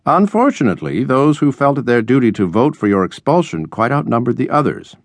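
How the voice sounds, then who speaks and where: A man voicing a stuffy, formal older male college dean who speaks in measured, disapproving phrases for a storybook. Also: medium pitch, big pitch swings, dry recording, voice pushed up. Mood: formal, regretful.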